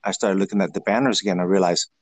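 A man whose first language is Vietnamese, answering interview questions in a halting way with many fillers and restarts. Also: low voice, steady tone, breathy edge, no echo. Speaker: guest.

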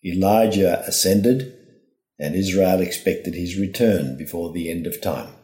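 A low-voiced man gives a reflective scripted homily. He speaks very slowly and formally, setting down each phrase with care, in a roomy, echoing space. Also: warm tone. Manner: deliberate, phrase-by-phrase delivery, formal. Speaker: priest giving a reflection.

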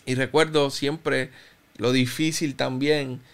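A man in his thirties, born in Cuba, with a Cuban Spanish accent softened by years in Puerto Rico, giving a personal testimony in a halting, reflective way with many fillers and restarts. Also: mid-range voice, steady tone, vocal fry. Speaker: interview guest.

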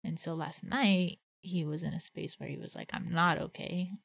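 A woman's voice: fairly low pitch, steady tone, moderate pace, gravelly. A woman co-hosting a casual faith conversation, reflective and thinking aloud with many "like" fillers. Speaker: podcast co-host.

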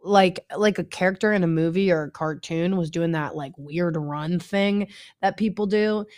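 Young woman, podcast co-host, casual slangy chat, lots of ums, somewhat low voice. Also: normal speed, a little mellow. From Northeast Ohio. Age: 20s. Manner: laid-back slangy talk, many fillers. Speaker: youth pastor and podcast co-host.

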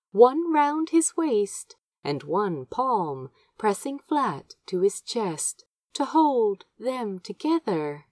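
A woman's voice: medium pitch, darkish tone, no room sound. A woman reading fiction aloud as an amateur audiobook narrator, with tender, dramatic storytelling. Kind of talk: expressive fan fiction narration.

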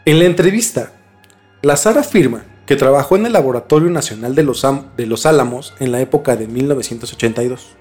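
A man's voice: medium pitch, a little fast, a little mellow, faint music behind. A young Mexican man telling a story as a podcast host in a casual, slangy conversational way. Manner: informal, slangy.

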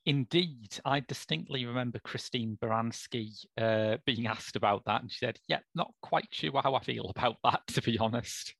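A man's voice: medium pitch, moderate pace, vocal fry, few pauses, a bit bright, husky, no echo. A man co-hosting a casual podcast chat, with a dry, wry sense of humor and a thoughtful way of talking. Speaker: podcast co-host.

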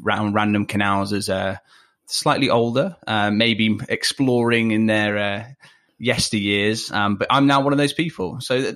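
A man's voice: on the low side, a bit bright. A man in his twenties with a Leicester accent, a podcast guest chatting casually from home and rambling with lots of ums and wry asides. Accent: Leicester English. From England. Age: 20s. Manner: rambling, full of ums and self-deprecating asides. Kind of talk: casual interview chat. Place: home, remote call.

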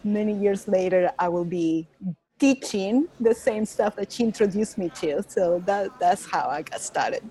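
A woman's voice: mid-range voice, unhurried, mellow, poor audio. Venezuelan-accented woman, earnest guest. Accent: Venezuelan Spanish. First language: Spanish. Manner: earnest, reflective, with restarts and laughs. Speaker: guest, yoga teacher. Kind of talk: casual interview.

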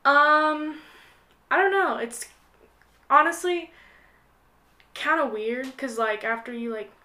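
A woman's voice: sits high, takes it slowly, sharp tone. A young American woman in her late teens, chatting casually as a podcast guest in a hesitant, rambling way with lots of "like". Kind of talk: casual chat.

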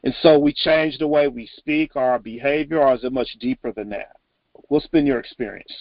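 An American man hosting a casual interview, asking questions in a halting, informal way. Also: medium pitch, dry recording, lo-fi sound. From Texas, United States. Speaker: interviewer, host.

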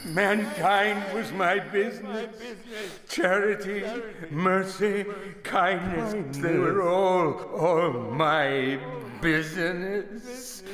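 An actor playing the mournful, ominous ghost of a dead banker in a theatrical radio drama, with wailing, drawn-out, solemn pronouncements. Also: male voice, high-pitched, crowd noise. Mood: anguished. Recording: cavernous long reverb with music behind.

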